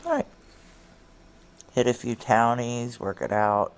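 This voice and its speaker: A woman's voice: very deep voice, slow pace, clear, resonant tone. An actress playing a weary, blunt career military woman in an audio drama, middle-aged, with an American accent and a wry, intimate way of talking. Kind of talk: naturalistic noir audio drama dialogue.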